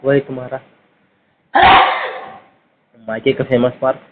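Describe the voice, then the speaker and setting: A man's voice: medium pitch, little pitch movement, measured pace. A man with an eastern Indian Bihar-Jharkhand Hindi accent in a casual private phone chat, pressing insistently with repeated questions. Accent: eastern Indian Hindi, Bihar-Jharkhand region. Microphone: phone line. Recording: noisy, narrowband phone call recording.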